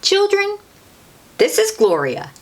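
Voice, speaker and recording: Woman reading as an earnest, slow-talking police officer. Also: on the high side, huge pitch swings, voice pushed up, heavily acted. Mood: announcing. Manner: deliberate, emphatic, instructive. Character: earnest male police officer reciting safety tips. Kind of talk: children's storybook character reading.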